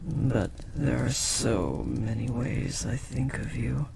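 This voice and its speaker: A man voicing a powerful, possessive and devoted demon in an intimate audio roleplay, speaking formally and intensely with dramatic pauses. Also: mid-range voice, vocal fry, a little mellow, slight background noise. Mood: tender, yearning.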